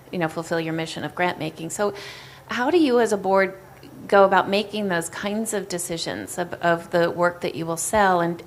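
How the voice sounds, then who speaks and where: Woman, lowish voice, audience question, winding, faint room hum. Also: a bit bright. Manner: long, winding question with fillers. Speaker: audience member asking a question. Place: conference hall audience.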